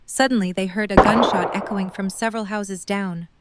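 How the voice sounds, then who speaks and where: A woman's voice: mid-range voice, fairly even intonation, moderate pace, very noisy. A woman narrating a scripted story as the narrator of an audio drama.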